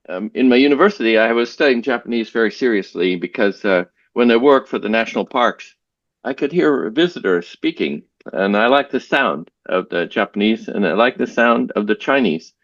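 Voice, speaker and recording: A man's voice: on the low side, normal speed, no echo, highs cut off. A man, a guest, chatting casually on a video call, rambling and restarting sentences as he recalls things. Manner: relaxed, rambling, with frequent restarts. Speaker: interview guest, language learner. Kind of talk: casual conversation.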